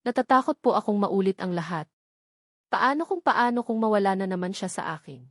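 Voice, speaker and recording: A woman's voice: medium pitch, animated, fairly quick, fairly bright tone, dry recording, clean studio sound. A woman voicing a hurt, weary young wife in a romantic audio drama, weak yet firm. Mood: fearful.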